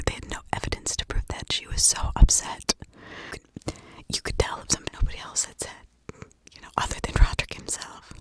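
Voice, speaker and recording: An American woman whispering an ASMR true crime story in a hushed, informal, conversational way. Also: low-quality recording.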